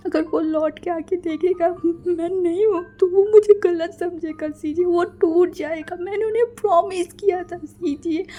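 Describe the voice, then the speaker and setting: A woman voicing a distraught young man who sobs and begs over and over, voice climbing high. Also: dark tone. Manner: sobbing, pleading, repeating words. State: crying.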